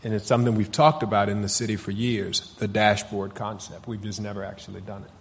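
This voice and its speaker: Low-voiced man testifying to council. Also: flat delivery, in a large hall. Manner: measured, deferential, says yes ma'am. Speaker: city official answering council. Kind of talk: formal testimony at a public meeting.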